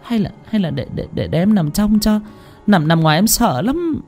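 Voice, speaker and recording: A man's voice: high-pitched, very animated, normal speed, dark tone, breathy edge, faint music behind, voice pushed up, full character voice. Man voicing a terrified stammering young wife. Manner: stutters and repeats words in panic.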